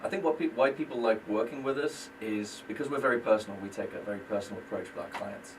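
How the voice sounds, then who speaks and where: A British man with a fairly low voice talks through his work as a guest on a radio show, friendly and self-deprecating. He sounds set back from the microphone, with clear background noise under him. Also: fast talker, distant mic, low-quality recording. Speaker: guest, business owner. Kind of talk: casual radio interview.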